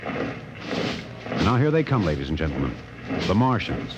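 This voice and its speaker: A man's voice: low-pitched, huge pitch swings, a little slow, very noisy, heavily acted. An actor playing a male live radio reporter with an announcer's delivery in a 1950s radio drama. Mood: hushed, tense. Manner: announcer-like, hesitant ad-lib interjections. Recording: old radio broadcast, loud crowd and music. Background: street crowd and band music.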